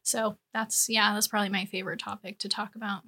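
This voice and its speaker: A woman's voice: medium pitch, little pitch movement, fast, sharp tone. A woman co-hosting a podcast, chatting casually as a counselor about her work and life. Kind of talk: casual chat.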